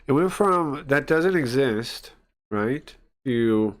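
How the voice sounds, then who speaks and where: A man with a medium-pitched voice talks as a streamer. He gives casual, spontaneous commentary, as if reacting live to a video debate. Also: lively intonation, slow pace.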